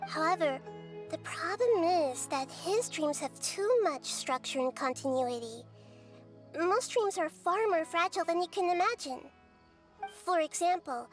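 Very high, wise little goddess. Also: female voice. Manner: measured, thoughtful, explanatory. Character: small wise childlike goddess girl, calm and curious. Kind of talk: video game voice acting.

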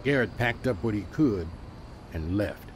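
Earnest storyteller man, lively pitch. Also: unhurried, music in the background. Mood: solemn storytelling. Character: gruff, earnest storyteller living among the homeless. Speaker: storyteller and street preacher. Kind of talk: radio drama acting.

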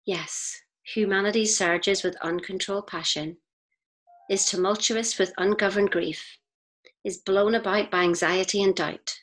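A woman reads scripted inspirational narration in a measured, deliberate way, with pauses between phrases. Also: medium pitch, fairly even intonation, a bit bright, low-quality recording. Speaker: audiobook reader. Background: faint music.

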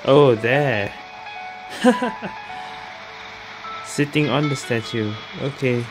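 A woman's voice: a bass voice, expressive, extremely slow, rough recording. A woman streamer giving casual commentary over a video game. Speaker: gaming streamer. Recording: game music behind the voice.

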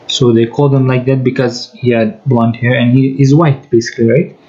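A man's voice: medium pitch, fairly even intonation, brisk, warm tone, lo-fi sound. A man giving a commentary while reading from sources, often checking with "right?" and restarting sentences. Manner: explanatory, frequent "right?" tags and restarts. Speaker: religious commentator.

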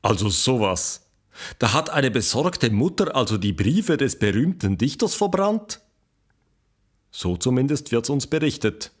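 Animated, curious interviewer. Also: male voice, medium pitch, unhurried, breathy edge. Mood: intrigued. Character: eager, curious male investigator questioning historical villagers. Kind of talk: scripted audio drama.

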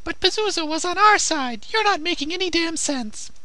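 A man voicing a prickly, devoted young female warrior in a matter-of-fact falsetto for a comedic anime parody. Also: lively intonation, normal speed, bright, forceful tone, heavily acted. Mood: irritated.

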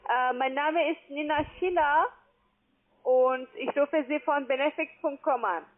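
A woman with a foreign accent giving a halting, formulaic sales pitch as a call centre agent. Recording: tinny narrow-band phone line.

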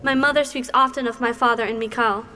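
An actress playing an eager, earnest young apprentice scholar in a fantasy audio drama, formal and deferential. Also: female voice, on the high side, steady tone, quick pace, sharp tone. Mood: earnest, curious. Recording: long hall reverb, faint background noise.

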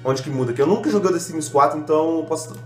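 A man's voice: a little high, rapid-fire. Young man, slangy rambling gamer chatter, stuffy from a cold, echoey bedroom, faint music underneath. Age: young adult. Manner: slangy, rambling, self-mocking. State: slightly congested from a cold. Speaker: gaming youtuber. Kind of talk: casual gameplay commentary.

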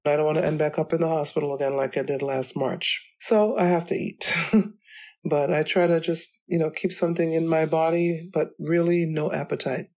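A woman hosting her own podcast, talking alone in a chatty, rambling way, her voice still slightly hoarse from illness. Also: low voice, a little mellow. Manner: chatty, rambling, goes off on tangents. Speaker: podcast host. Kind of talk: solo podcast monologue. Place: home.

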